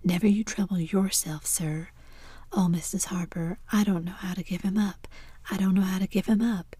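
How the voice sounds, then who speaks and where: A woman's voice: mid-range voice, fairly even intonation, moderate pace, warm tone, slightly rough, dry recording, natural voice. A woman whispering as a grieving, pious old aunt in a storybook reading.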